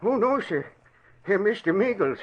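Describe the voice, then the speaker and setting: High-voiced, folksy old inmate. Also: male voice, sing-song, moderate pace. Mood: meek, chatty. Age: older. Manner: folksy, deferential, chuckling rustic talk. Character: simple, meek old male asylum inmate tending guard dogs. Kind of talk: 1940s radio acting. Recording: dull old radio recording.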